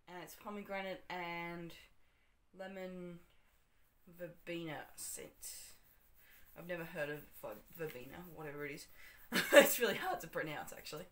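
A young Australian woman vlogging her morning at home, chatty and rambling, with a slightly croaky voice. She talks into her phone camera in a small bedroom and corrects herself often. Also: fairly low pitch, a bit bright. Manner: chatty, rambling, self-correcting with frequent ums. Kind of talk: casual day-in-the-life vlog. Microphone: phone camera, handheld or propped up.

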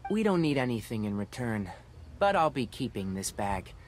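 An actor voicing a young fox-eared forest ranger who speaks in a precise, analytical, composed way. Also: male voice, mid-range voice, big pitch swings, moderate pace, lightly acted. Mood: calm. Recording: game audio with faint music.

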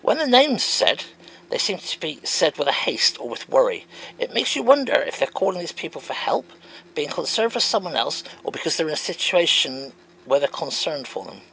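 A man's voice: a little high, moderate pace, creaky, a little hiss or hum, rough recording. A man reading out comments and answering them in an emphatic, wondering way. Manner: animated, wondering aloud, emphatic.

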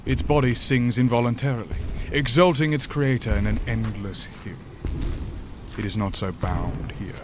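An actor playing a grave, ancient demon from a higher dimension in a horror audio drama, speaking formally and deliberately. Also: male voice, steady tone, noisy background, natural voice. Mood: formal, calm. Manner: formal, deliberate, solemn, eloquent.

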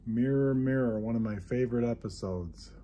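A man's voice: medium pitch, normal speed, warm tone, slight background noise. An older man chatting casually at home as he shows off his collectibles. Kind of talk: casual chat, showing off keepsakes. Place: living room and kitchen at home.

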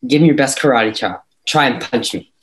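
Teen schoolboy, awkward but insistent, mid-pitched young male actor, video call table read. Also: lively intonation, fairly quick, close to an everyday voice, poor audio. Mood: casual, teasing. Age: young adult. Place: room at home.

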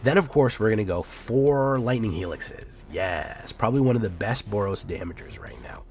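A man's voice: fairly low pitch, expressive, moderate pace, darkish tone, faint music behind. A man hosting a casual card-game deck breakdown, upbeat and hammy, his voice a little off from being sick. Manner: upbeat, hammy sign-off banter. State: sick, voice sounds off. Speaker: host.

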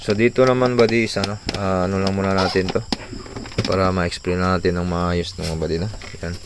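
A man's voice: low-pitched, little pitch movement, brisk, background noise. A man casually explaining a hands-on repair, informally tossing in short tag questions, like a tutorial vlogger.

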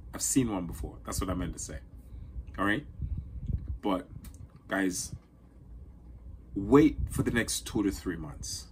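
A man's voice: on the low side, a little hiss or hum, poor audio. Emphatic man talking straight to camera. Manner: emphatic and repetitive, talks with his hands. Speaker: social media creator. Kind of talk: talking-head monologue to camera. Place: indoors in front of a green screen. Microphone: phone or camera close in front.

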